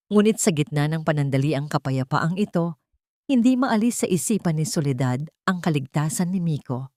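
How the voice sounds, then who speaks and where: A woman's voice: fairly low pitch, darkish tone, dry recording, studio quality. A woman with a Filipino accent reads a scripted dramatic story as its narrator.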